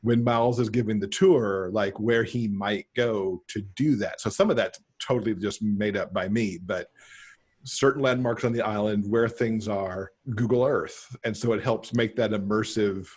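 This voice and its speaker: A middle-aged man with a husky voice, chatting casually as a guest author on a video call. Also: lowish voice, normal speed, a little mellow. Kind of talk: casual interview chat. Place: indoor room, on a video call.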